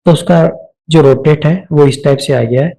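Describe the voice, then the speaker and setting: Gravelly Indian man teaching software. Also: fairly high pitch, moderate pace, rough recording. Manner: explanatory, demonstrating each step aloud. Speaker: software instructor. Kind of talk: step-by-step screen-recorded software tutorial.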